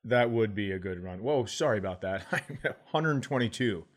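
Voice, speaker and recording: Middle-aged American male, low register, podcast comic telling loose, sweary stories in casual conversation. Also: expressive, moderate pace. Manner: profane, informal. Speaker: podcast host and comedian. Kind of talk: casual chat, storytelling.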